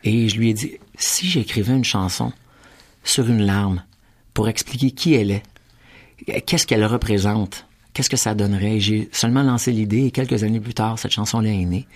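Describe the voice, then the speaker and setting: This is a middle-aged man from Quebec, with the accent to match. His voice sits on the low side. He talks as an interview guest, telling stories in a casual, conversational way in a studio. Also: normal speed. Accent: Quebec French. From Quebec, Canada. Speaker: guest, singer-songwriter and guitarist. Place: broadcast studio.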